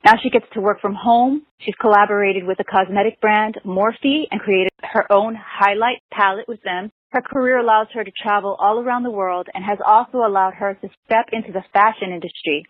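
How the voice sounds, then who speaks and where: A woman's voice: mid-range voice, fairly even intonation, normal speed, few pauses, no room sound. A woman hosting a podcast. She reads a guest introduction, then asks playful questions and draws some of them out. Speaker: podcast host. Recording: dry, phone-like narrow sound.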